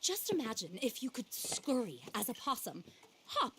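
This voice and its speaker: A woman's voice: a little high, very animated, normal speed, background noise. An actress playing a passionate, eccentric young woman naturalist in a video game, breathless and theatrical. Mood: rapturous, dreamy. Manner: breathless, theatrical, dreamy then indignant. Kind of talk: video game cutscene acting.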